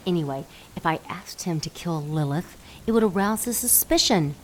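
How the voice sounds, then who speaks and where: A scheming demon temptress played by a woman speaks in a fairly low voice. She is coy and commanding, as in an audio drama. Faint ambient noise sits behind her. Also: animated, moderate pace, close to an everyday voice, poor audio.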